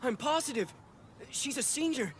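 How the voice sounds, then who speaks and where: A woman voicing an earnest, awkward anime youngster in an English dub, excitable and easily flustered. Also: high voice, moderate pace, a little hiss or hum.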